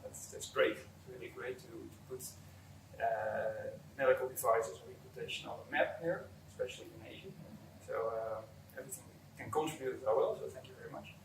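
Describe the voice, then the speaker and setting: A Dutch man in his early forties speaks in an accented, mid-pitched voice, answering interview questions off the cuff. He is recorded in an echoey room at some distance from the microphone, with faint background noise. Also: moderate pace. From Netherlands. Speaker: company executive, interview guest.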